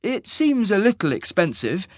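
A male narrator plays a proud young-spirited male traveller in a fantasy audiobook, pitched well up. He speaks formally and insistently, full of earnest purpose. The sound is very dry. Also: very animated, moderate pace, no room sound. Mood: brisk.

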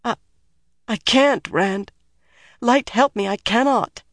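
A woman's voice: mid-range voice, moderate pace, no room sound, studio quality, pitched above the performer's own voice, lightly acted. A woman narrator voicing a frightened, stubborn young woman in an audiobook, pleading in a tremulous, emotional way. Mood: anguished refusal.

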